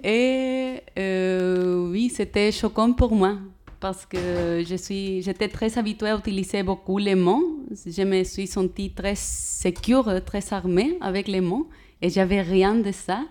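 Femme non native, ton chaud, réponses hésitantes en interview. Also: female voice, medium pitch, darkish tone. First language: not French. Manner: halting learner's French, many hesitations. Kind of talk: radio interview answer.

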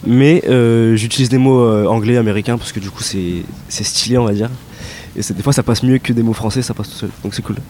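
A young man giving casual, hesitant interview answers with lots of fillers and relaxed slang. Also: mid-range voice, fairly quick, slight background noise. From Italian descent, raised in France. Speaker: rapper interviewed by students.

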